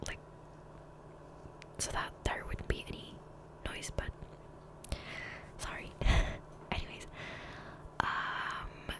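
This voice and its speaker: A woman whispering softly and breathily in a chatty, rambling ASMR style over gameplay, close to a condenser microphone. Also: very slow, pauses often. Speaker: gaming ASMR creator. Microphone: close USB condenser microphone.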